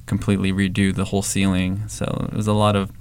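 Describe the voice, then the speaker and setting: A young man with a low, gravelly voice that barely rises or falls. He talks in a relaxed interview style, full of "like" and restarts, as a shop co-owner from the Valley. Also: quick pace. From Los Angeles, San Fernando Valley. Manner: relaxed storytelling, many fillers and restarts. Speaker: ice cream shop co-owner. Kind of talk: casual interview answers.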